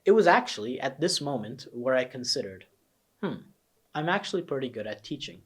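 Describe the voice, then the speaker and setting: A man's voice: medium pitch, very animated, a little fast, breathy edge. A young man with an American accent, a test prep coach persuasively walking students through his course. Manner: earnest, persuasive, emphatic. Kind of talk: promotional course walkthrough.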